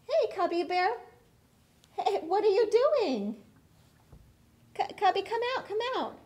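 High-voiced young woman hosting for kids. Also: very animated, a little slow, a little mellow. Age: young adult. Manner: coaxing, reassuring. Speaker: children's puppet show host. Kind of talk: simple scripted chat with puppets for young children. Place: small classroom, indoors, seated at a table.

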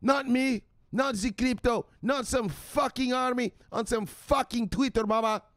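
A man voicing a frantic grown son who shouts excited pleas at his mother in broken phrasing. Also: extremely high pitch, a little slow, dry recording, clean studio sound, voice pushed up. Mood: frantic pleading.

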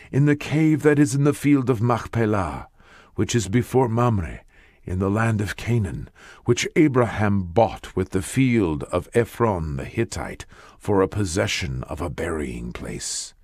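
A man narrating scripture as an audiobook, with a solemn, measured and declamatory delivery. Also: on the low side, sing-song, a little mellow, no echo, clean studio sound.

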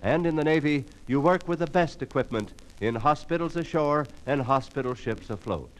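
A man reading a scripted 1950s radio recruiting announcement in a formal, measured announcer style. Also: on the high side, lively intonation. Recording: noisy vintage 1950s radio transcription disc.

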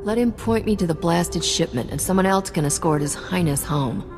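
A woman's voice: fairly low pitch, lively intonation, normal speed, music in the background. A woman playing a cocky, sarcastic smuggler in a video game, with a wry, sassy delivery. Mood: irritated.

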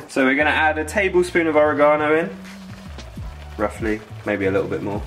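A man's voice: a little high, lively intonation, a little fast, a bit bright, faint music behind. A British man in his twenties hosting a casual cooking tutorial in an informal, enthusiastic way from a home kitchen. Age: 20s. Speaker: cooking video host.

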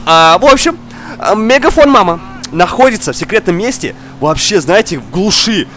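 A young man, slightly under the weather, giving excitable casual gameplay commentary, exclaiming, repeating words and talking straight to the viewer. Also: high-pitched, normal speed, a little hiss or hum. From outside Russia. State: slightly ill, coughing now and then. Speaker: gaming streamer. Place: indoor room, seated at racing wheel.

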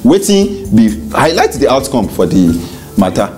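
A man's voice: medium pitch, sing-song, unhurried, warm tone, faint music behind. A Nigerian man in his thirties presenting a talk show as host in a small studio.

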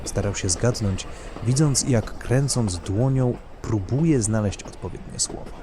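A man's voice: mid-range voice, measured pace, background noise. A man reading scripted literary narration as the narrator of an audio drama.

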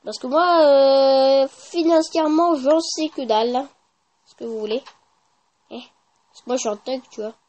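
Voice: high-pitched, measured pace, a little mellow. A gaming YouTuber giving casual, informal gameplay commentary with slang and swearing.

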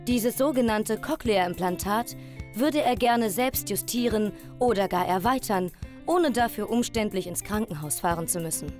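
A woman's voice: medium pitch, normal speed, darkish tone, faint music behind. A woman reading a scripted documentary voice-over in pronunciation. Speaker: documentary narrator.

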